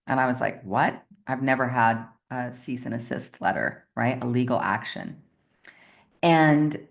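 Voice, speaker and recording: A woman's voice: very deep voice, creaky. A woman coach telling a personal story in a chatty, motivational way, often checking in with her listeners. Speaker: success coach.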